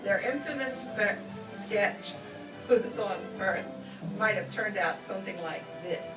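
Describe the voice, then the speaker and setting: A woman's voice: mid-range voice, a little slow. A woman master of ceremonies reading scripted introductions at a live stage show. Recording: echoey, distant mic, music behind.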